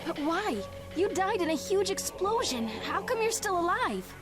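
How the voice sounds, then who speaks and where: Very high, feisty young ninja. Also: female voice, sing-song, unhurried, exaggerated voice. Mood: startled. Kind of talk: dramatic video game dub acting. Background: dramatic game music.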